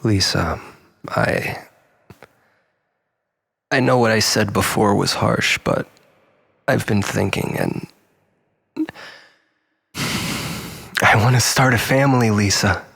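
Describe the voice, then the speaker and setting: A man playing an obsessive, bitter, unhinged jilted lover in a dramatic monologue, volatile and halting, moving between breathy whispers and outbursts. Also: lowish voice, fairly bright tone, heavily acted. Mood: pleading, tender. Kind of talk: dramatic first-person monologue.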